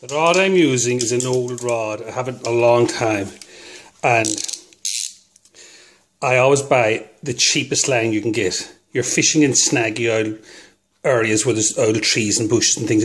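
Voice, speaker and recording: A man's voice: medium pitch, moderate pace. Chatty man giving fishing tips. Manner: chatty, rambling, chuckles at his own jokes. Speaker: fishing video host. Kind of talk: casual how-to talk to camera.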